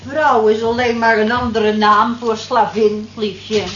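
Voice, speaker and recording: A woman's voice: a little high, steady tone, slow, a bit bright. An actress in a radio play playing a weary, down-to-earth night cleaning woman who grumbles and sighs in an earthy way. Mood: wry. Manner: earthy, complaining, sighing. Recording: echoey, dull, hissy old radio recording.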